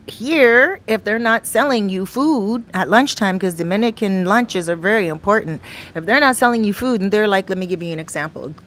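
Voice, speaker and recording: A middle-aged woman vlogging casually about her life abroad, chatty and rambling, her voice a little raspy and often clearing her throat. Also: medium pitch. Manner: chatty, rambling, with many fillers and restarts. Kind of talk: casual talk to camera. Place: her home in a Dominican neighborhood.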